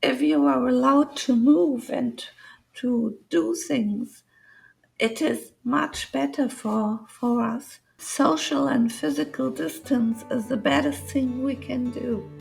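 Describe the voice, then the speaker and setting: Brief: older German woman, retired vet, high and very gravelly, slow, guitar softly behind. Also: low-quality recording. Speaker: retired veterinarian.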